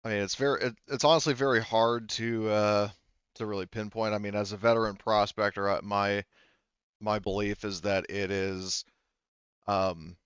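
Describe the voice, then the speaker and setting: Male, game master as a weary-of-management veteran prospector, careful and halting; lowish smooth voice, dull recording. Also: level tone, fairly bright tone, dry recording, close to an everyday voice. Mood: resigned, hedging. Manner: hesitant, searching for words. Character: cautious male veteran prospector, resigned, loyal to his job.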